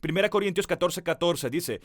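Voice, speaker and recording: A pastor preaching with earnest conviction, his voice sitting somewhat high for a man. He speaks right to his listeners and stretches out the words he wants to land. The sound is close and dry, with almost no room around it. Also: lively intonation, no room sound. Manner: earnest preaching, slows down on key words for emphasis; addresses the listener directly. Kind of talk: sermon.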